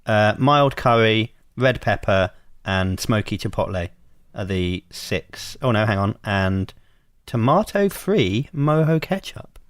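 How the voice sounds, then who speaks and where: Jokey man, lively pitch. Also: clear, resonant tone, no echo, studio quality. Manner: jokey, quick reactions, laughs easily. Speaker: podcast co-host. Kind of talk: casual podcast chat.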